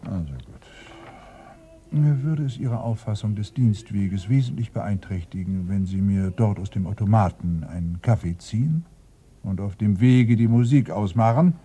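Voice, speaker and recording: An actor playing a sardonic, impatient police inspector in a radio play, with a dry, ironic and brusque manner. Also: male voice, lowish voice, moderate pace, warm tone, husky. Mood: sarcastic, impatient. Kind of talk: German radio play acting.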